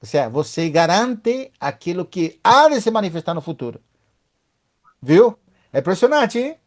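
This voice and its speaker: A man's voice: high voice, sing-song, moderate pace. A man leading a Bible study, emphatic and often asking his listeners for agreement.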